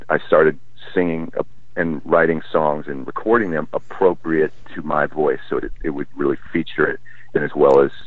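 Man, musician guest, very low bass voice, relaxed and thoughtful talk. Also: dry recording. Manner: relaxed, thoughtful, with frequent restarts. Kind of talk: casual interview chat. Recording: tinny phone line, dry.

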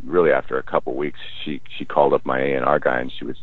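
A man who is a musician, talking in a relaxed, thoughtful way and often restarting his sentences in a casual interview. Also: a bass voice, fast talker, no room sound. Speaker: musician guest. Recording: tinny phone line, dry.

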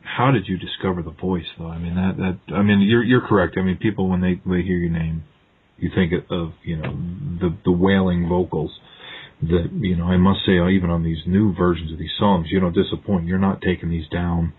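A man's voice: sits low, fairly even intonation, brisk, husky. A man interviewing a musician in a casual, agreeable way, asking long questions with many fillers. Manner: casual, lots of short agreeing replies. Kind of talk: phone interview. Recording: tinny phone line.

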